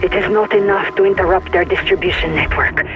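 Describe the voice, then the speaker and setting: A man's voice: high-pitched, fairly even intonation, a little fast, highs cut off. A man playing a hunted undercover agent, grave and urgent, sending a military sci-fi report over a radio link. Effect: radio transmission. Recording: loud music behind radio voice.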